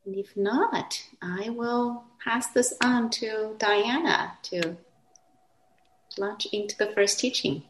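A woman whose first language is Chinese, gently moderating an online class over a video call, hesitant with soft laughs. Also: on the high side, takes it slowly, noisy background. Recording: echoey room on a video call.

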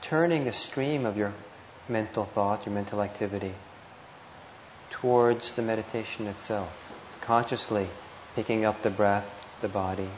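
A man teaching meditation, giving guided instructions with gentle, hesitant phrasing and pauses. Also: on the low side. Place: indoor meditation hall. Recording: muffled, roomy live talk with noise.

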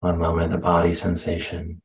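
A man leading a guided meditation as a teacher, speaking gently and unhurriedly with long pauses, on a slightly muffled recording. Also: deep voice, completely flat delivery. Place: meditation center.

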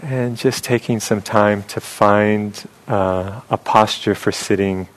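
Slow, low-voiced man guiding meditation. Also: steady tone, poor audio. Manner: soft-spoken, unhurried, with long pauses. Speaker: meditation teacher. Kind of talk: guided meditation instruction.

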